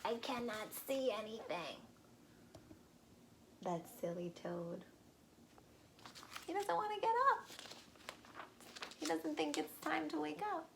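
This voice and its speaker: A woman reading a storybook aloud to young children, expressive and pausing to ask the listeners questions. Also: high-pitched, vocal fry, slight background noise.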